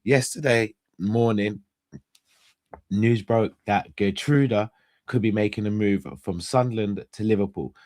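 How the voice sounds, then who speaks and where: A young man hosts a casual live stream. His somewhat low voice is hoarse and stuffed up. He chats straight to his viewers and often backs up to restart a phrase. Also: unhurried, a little mellow. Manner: chatty, addresses viewers directly, restarts phrases. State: hoarse and congested, losing his voice. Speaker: host.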